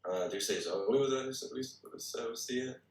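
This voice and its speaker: A man's voice: medium pitch, quick pace. A man giving casual feedback in a workshop discussion, phrasing things loosely and hesitantly with lots of filler hedges. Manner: hesitant phrasing, hedging with many "like" and "kinda". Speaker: participant giving script feedback at a table read. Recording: echoey room, far from the mic.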